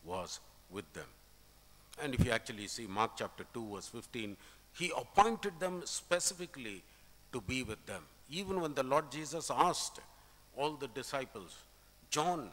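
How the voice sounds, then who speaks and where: Male preacher, middle-aged, Indian accent, emphatic teaching delivery, sharp bright tone, long church reverb. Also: mid-range voice, slight background noise. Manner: emphatic, explanatory, deliberate phrasing. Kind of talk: expository sermon with scripture readings. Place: indoor church, at a pulpit. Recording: reverberant church hall sound.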